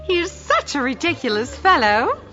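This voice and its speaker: Spirited young wife, radio play, playfully exasperated, fairly high pitch, faint music behind. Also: female voice, wildly swooping pitch, moderate pace, bright, forceful tone, highs cut off, heavily acted. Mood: playful exasperation. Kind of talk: audio play acting.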